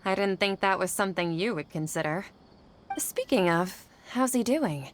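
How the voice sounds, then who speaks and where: A woman's voice: medium pitch, expressive, moderate pace, a little hiss or hum, natural voice. A woman playing an earnest, dutiful young female scholar in a video game, hesitant when pressed. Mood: concerned.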